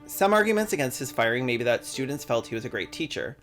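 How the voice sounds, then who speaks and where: Young male essayist, mid-pitched, dry sardonic read over faint background music. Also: sing-song, fast, fairly bright tone. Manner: sardonic, profane asides. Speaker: video essayist. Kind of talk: scripted commentary with sarcastic jokes.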